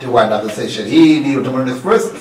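A man's voice: mid-range voice, very fast, a little mellow, distant mic. A man with a Tanzanian accent hosting a casual radio interview. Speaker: radio host. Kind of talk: casual interview, code-switching. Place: small indoor radio studio. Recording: roomy studio, off-mic, faint noise.

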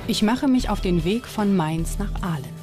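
A woman presenting a history documentary in scripted, clearly articulated and explanatory narration. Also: medium pitch, lively intonation, normal speed, over music. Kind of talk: scripted documentary narration with on-location interview questions.

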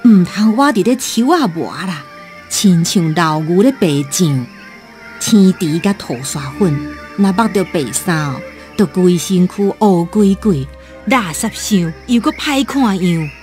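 Casting: caring, teasing elderly wife, animated melody, slow delivery, radio drama. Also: female voice, lowish voice, faint music behind. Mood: teasing, fussing. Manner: expressive, homely. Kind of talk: radio drama acting in Taiwanese Hokkien.

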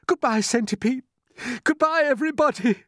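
A man voicing a brave, earnest young boy in a storybook, urgent and excitable. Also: high-pitched, brisk, no room sound, clean studio sound, voice pushed up. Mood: desperate farewell.

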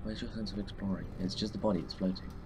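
Low-voiced young man gaming, noisy recording. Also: steady tone, quick pace. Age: young adult. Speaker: gaming video co-host. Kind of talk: casual let's-play commentary. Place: small room indoors at a computer. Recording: clearly noisy home recording.

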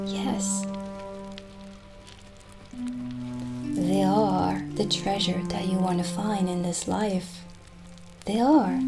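A middle-aged woman playing a gentle, mystical witch who sells potions, soft-spoken and soothing in an improvised ASMR roleplay. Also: mid-range voice. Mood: wise, reassuring. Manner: soft-spoken, soothing, lapsing into breathy near-whispers. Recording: soft background music. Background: soft music.

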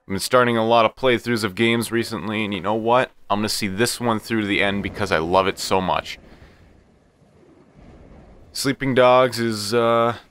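A man hosting a casual let's play, talking to himself and the game in an excitable, profane way. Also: lowish voice, moderate pace, slight background noise. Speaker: gaming channel host.

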